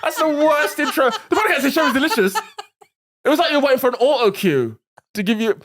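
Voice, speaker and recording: A man's voice: very high-pitched, big pitch swings, brisk, heavy background noise. A man co-hosting a comedy podcast in a studio, teasing his co-host, swearing and laughing often in casual banter. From England. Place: indoor studio.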